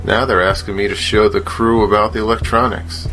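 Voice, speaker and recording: Self-assured tech student, grumbling, lowish voice, audio drama, faint music. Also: male voice, level tone, brisk, sharp tone.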